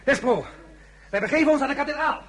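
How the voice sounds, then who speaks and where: A man playing an impatient, imperious emperor in a period radio drama, with a husky voice and clipped orders. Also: very high-pitched, quick pace, fairly bright tone.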